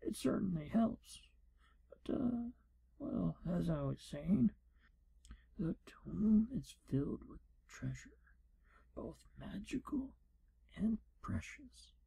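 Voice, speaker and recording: A man playing a pompous, evasive wizard proctor in an ASMR fantasy role play, speaking in a hushed, breathy half-whisper. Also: high-pitched, sing-song, takes it slowly, long pauses, exaggerated voice, rough recording. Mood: falsely reassuring. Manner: pompous, wry, evasive, theatrical storytelling. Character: pompous, shifty male wizard proctor giving an exam.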